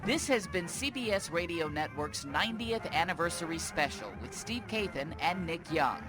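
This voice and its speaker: A man's voice: on the high side, animated, moderate pace. A man reading a scripted credits announcement as a radio announcer. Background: music.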